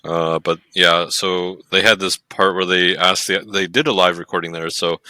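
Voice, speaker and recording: Very deep-voiced man, bright and dry. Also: level tone, no echo. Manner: halting, hesitant, many fillers and restarts. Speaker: podcast co-host. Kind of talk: casual chat.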